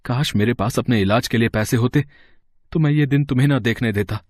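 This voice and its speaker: A man's voice: mid-range voice, fairly quick, a little mellow, no echo, studio quality, close to an everyday voice. A man voicing a bitter, despairing young husband in an audio story, speaking with dramatic reproach.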